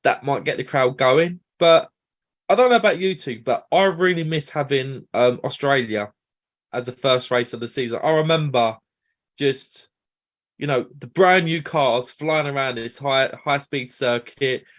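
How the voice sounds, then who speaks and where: A man co-hosting a podcast, relaxed and talking with some hesitant fillers. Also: medium pitch, measured pace. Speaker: podcast co-host. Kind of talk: casual podcast chat.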